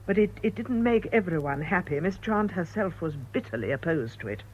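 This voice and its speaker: An actress playing an earnest, proper middle-aged former missionary wife with a British accent, speaking in measured radio drama style. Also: female voice, fairly low pitch, animated. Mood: grave, reflective. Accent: British English. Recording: dull lo-fi radio recording with noise.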